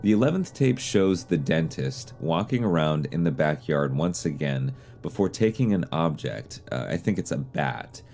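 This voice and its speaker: A man's voice: extremely low pitch, darkish tone, slight background noise. A man hosting an explainer series in a semi-scripted narration style. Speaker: host of an analog horror explainer series.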